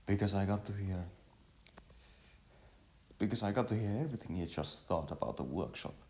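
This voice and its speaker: A man playing an earnest young village workman in a storybook, formal, deliberate and halting. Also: lowish voice, lively intonation, a little mellow, pitched above the performer's own voice. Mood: earnest, explaining.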